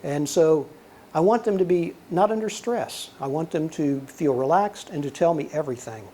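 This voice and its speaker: A man's voice: a little high, moderate pace, slight background noise. An older man with a soothing voice giving a conversational lecture, telling anecdotes and acting out dialogue. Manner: relaxed storyteller, acts out quoted dialogue. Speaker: guest lecturer, former intelligence officer.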